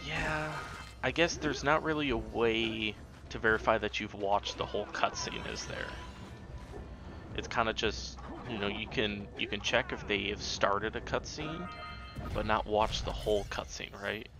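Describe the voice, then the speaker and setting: A man's voice: on the low side, steady tone, measured pace. A man giving casual, offhand live commentary as he plays a game, often muttering to himself. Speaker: speedrunner streamer. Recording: game music behind the voice. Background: video game music.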